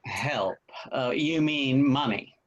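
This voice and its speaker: A man playing a cautious but kindly father of a grown son in a play reading, with hesitant, expressive delivery. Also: medium pitch, takes it slowly, close to an everyday voice. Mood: doubtful. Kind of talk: virtual table read of a stage comedy-drama. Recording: dull, lo-fi call audio.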